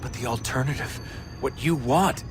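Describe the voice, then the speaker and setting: An actor playing a young modern hero in a video game, bewildered yet defiant, speaking bluntly and colloquially. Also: male voice, mid-range voice, normal speed, noisy background, natural voice. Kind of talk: naturalistic video game acting.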